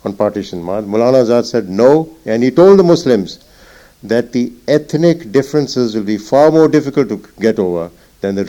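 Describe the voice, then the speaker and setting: An older man, a retired naval officer recalling the past in an interview, reflective and halting with many fillers. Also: medium pitch, warm tone, rough recording. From Punjab. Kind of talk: oral history interview.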